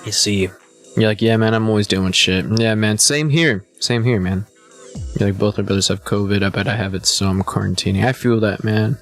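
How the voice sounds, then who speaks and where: A man's voice: lowish voice, fairly even intonation, brisk, soft background music. A man in his twenties chatting casually to his live stream viewers, a bit hungover, rambling and laid-back with lots of "man" and "you know.". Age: 20s. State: a little hungover.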